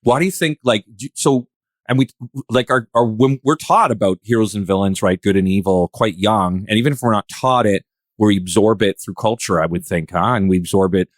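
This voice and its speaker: A man's voice: lowish voice, dry recording. A man hosting a casual panel discussion, feeling his way through sentences with repeated restarts. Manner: halting, searching phrasing with repeated restarts. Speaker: podcast host.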